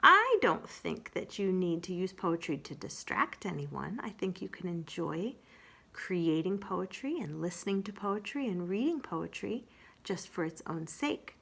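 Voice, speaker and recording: Unhurried woman with a very lively pitch. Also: vocal fry, slightly rough. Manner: animated, unhurried storytelling. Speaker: children's librarian storyteller. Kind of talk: casual talk to young children, introducing and reading a storybook.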